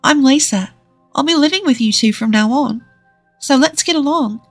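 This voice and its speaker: A woman voicing a gentle, cheerful young wife in a narrated story, with a comforting, lightly teasing manner. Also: sits high, normal speed, gravelly, no echo, soft background music, voice pushed up. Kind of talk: acted dialogue in a narrated story.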